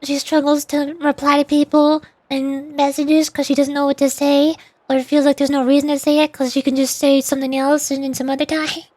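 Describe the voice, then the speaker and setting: A woman's voice: high-pitched, level tone, fairly quick, a little mellow, no room sound. A woman livestreamer chatting casually about a game in a bubbly, girlish manner. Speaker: streamer playing and voicing game lines. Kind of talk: casual livestream chat and commentary.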